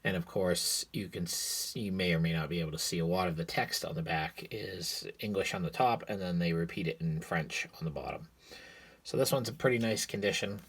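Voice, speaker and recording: Male vlogger, deep and husky, hoarse with a cold, easygoing and rambling, recorded on a phone at home. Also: brisk. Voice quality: raspy. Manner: relaxed, rambling, with frequent fillers. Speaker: sports card collector vlogger. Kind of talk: casual show-and-tell vlog. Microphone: cell phone.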